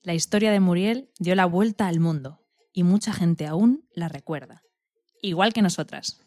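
Female radio co-host, scripted storytelling, slightly low voice, very dry sound. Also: normal speed, darkish tone, dry recording.

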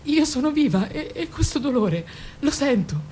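A woman's voice: very animated, normal speed, dark tone. An actress playing a distraught, devoted mother in a radio drama, pleading defensively and repeating herself. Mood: anguished. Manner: agitated, insistent, repeats herself. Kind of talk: theatrical radio drama acting. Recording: noisy recording with low rumble.

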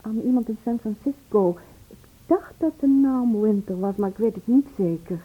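A woman's voice: fairly high pitch, normal speed, slightly rough. An actress playing a hesitant, loyal woman who is wary of trouble, understated, in a radio play. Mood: recounting. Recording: dull, band-limited old radio play recording.

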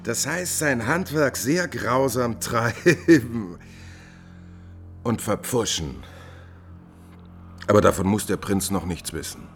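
Ein Schauspieler spielt in einem Hörspiel der 1950er einen intriganten, kaltblütigen Höfling, der berechnend, bedächtig und einschmeichelnd spricht. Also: male voice, mid-range voice, faint music behind. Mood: coldly mocking. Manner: calculating, coaxing, deliberate. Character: scheming, cold-blooded male courtier, smooth servant of a prince. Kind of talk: 1950s German radio drama acting.

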